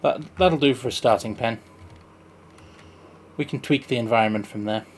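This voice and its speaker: A man's voice: mid-range voice, moderate pace, slightly rough. A man giving casual gameplay commentary, thinking aloud and muttering to himself as he plays. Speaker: streamer playing a park-building game.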